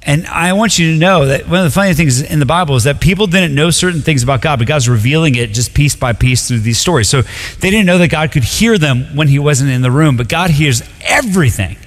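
Casual young preacher in a big hall. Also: male voice, a little high, animated, brisk. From Colombia. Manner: casual, conversational preaching with frequent "like" and restarts. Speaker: pastor. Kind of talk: sermon. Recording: long reverb of a large hall.

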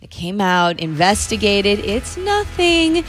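A woman's voice: mid-range voice, very animated, takes it slowly, faint music behind. A woman co-hosting a radio fundraiser, reading out listener stories and thanking givers. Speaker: radio host.

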